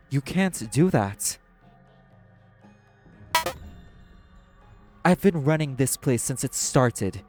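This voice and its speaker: A man's voice: mid-range voice, natural voice. A man acting in an audio roleplay as a cynical, world-weary socialite who runs a club. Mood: alarmed, indignant. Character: apathetic, cynical socialite club manager and parent.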